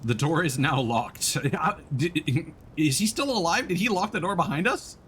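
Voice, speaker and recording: A man giving casual let's-play commentary as he streams a game, thinking aloud in a halting way. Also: a little high, lively intonation, fairly bright tone, slight background noise. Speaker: streamer playing a video game.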